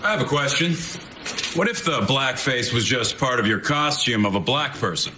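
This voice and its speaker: A clueless, earnest lawmaker, played by a man puts naive questions to the room. His mid voice swings widely in pitch. The sketch audio is buried in heavy noise. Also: lively intonation, moderate pace, lightly acted. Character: clueless male legislator asking naive questions.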